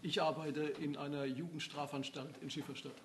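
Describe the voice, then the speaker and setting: A man's voice: fairly high pitch, level tone, moderate pace, fairly bright tone, faint music behind. A man from the audience giving short, hesitant answers with fillers during a church service. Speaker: audience member questioned on stage. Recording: echoey hall with faint background noise.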